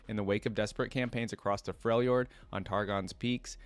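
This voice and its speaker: A young man hosting a reaction channel, reading character lore aloud and thinking out loud between passages, with halting restarts. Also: fairly low pitch, fairly even intonation.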